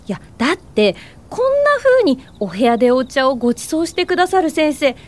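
An actress playing a cheerful, curious young college student in a radio drama, eager and forthright but polite. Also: female voice, high-pitched, big pitch swings, moderate pace, darkish tone. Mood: excited, playful. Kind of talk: Japanese radio drama acting.

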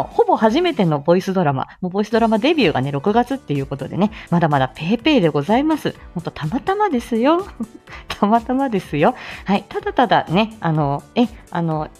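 A woman's voice: on the high side, darkish tone. A middle-aged woman hosting a casual morning livestream, chatting politely and gigglily with her listeners and making announcements. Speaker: host of a live audio show; speech-language therapist.

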